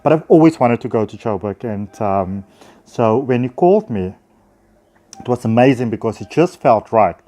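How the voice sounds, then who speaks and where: Male, South African accent, mid-pitched, effusive and chatty; informal phone vlog monologue addressed to viewers. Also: animated, moderate pace, mellow. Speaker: spiritual healer and teacher. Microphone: handheld phone.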